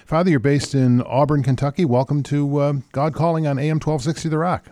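A man's voice: fairly high pitch, fairly even intonation, brisk, mellow, dry recording. American male radio host, dry sound. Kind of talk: conversational radio interview.

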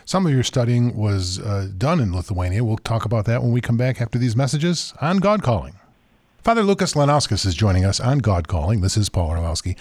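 A man's voice: medium pitch, big pitch swings, a little fast, a little mellow, dry recording. A man hosting a religious radio program who asks questions in a conversational, curious way, with friendly asides. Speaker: radio host. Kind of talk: radio interview.

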